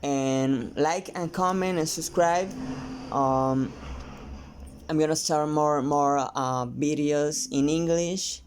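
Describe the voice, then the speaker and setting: A painter from Mexico with a Mexican Spanish accent, his first language Spanish, talking casually and haltingly to camera with many restarts. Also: a little high, fairly even intonation, a little hiss or hum. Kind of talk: casual vlog talk while painting.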